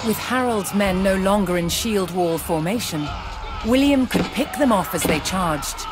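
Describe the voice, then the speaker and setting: Dramatic history narrator over loud music. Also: mid-range voice, lively intonation, a loud crowd. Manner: measured, dramatic storytelling. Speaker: documentary narrator. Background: loud background music.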